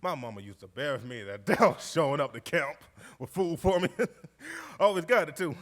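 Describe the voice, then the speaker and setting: Male actor as jovial Southern WWII veteran: higher register, very animated intonation, folksy, exuberant live-stage storyteller. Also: moderate pace. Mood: joyful, amused. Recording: live stage recording in recreation hall.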